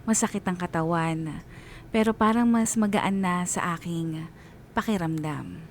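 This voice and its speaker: A woman's voice: fairly low pitch, measured pace, soft background music. A woman with a Filipino accent giving a scripted, dramatic first-person story narration for radio. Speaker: radio DJ reading a listener's story.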